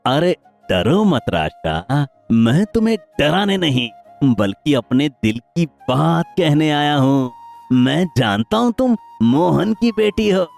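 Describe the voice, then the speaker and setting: A man's voice: medium pitch, gravelly, heavily acted. A man voicing a rich, proud and vengeful older landlord with dramatic, self-important delivery in a cartoon story. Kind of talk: Hindi cartoon moral-story acting.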